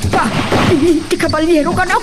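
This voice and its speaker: A woman's voice: extremely high pitch, steady tone, moderate pace. A woman playing a timid, flustered household servant in a radio drama, anxious and full of exclamations. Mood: frightened, flustered. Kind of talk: Ilocano radio drama acting. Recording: clearly noisy recording.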